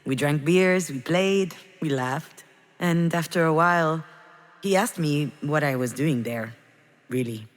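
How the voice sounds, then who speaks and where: A woman's voice: sits low, unhurried. An actress playing a melancholy, regretful woman in a video game, confiding hesitantly in an introspective monologue. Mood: wistful regret. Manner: hesitant, confessional, reflective. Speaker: video game protagonist narrating her memories.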